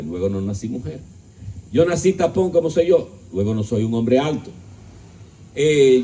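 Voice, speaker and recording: Dark-voiced man lecturing emphatically. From Dominican Republic. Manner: rhetorical questions to the audience, joking asides; emphatic, shifting pitch and volume. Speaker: speaker at a discussion gathering. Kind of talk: philosophical lecture to an audience.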